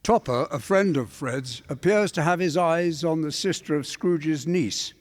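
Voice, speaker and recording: Fairly high-voiced male storyteller. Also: unhurried. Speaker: narrator. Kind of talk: scripted storytelling. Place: live on stage in a church.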